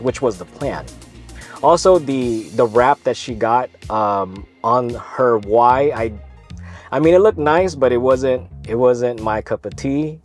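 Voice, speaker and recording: A man with a stuffy, congested voice, hosting his own video in an easygoing, rambling way. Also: mid-range voice. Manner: easygoing, rambling with self-corrections. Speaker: vlog host. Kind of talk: casual talk to camera.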